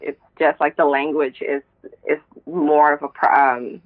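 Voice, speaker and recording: A woman's voice: low voice, flat delivery, a little slow. A woman from Indonesia speaks as a guest in an interview. She talks reflectively, with many fillers and frequent laughs. Her voice comes through a tinny, phone-like line. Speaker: poet, guest. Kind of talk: casual interview talk.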